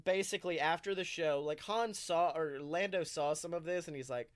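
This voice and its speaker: Clear, higher-voiced man telling comic stories. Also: moderate pace. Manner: informal, sweary, self-amused. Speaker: podcast co-host. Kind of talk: casual comic improvised storytelling.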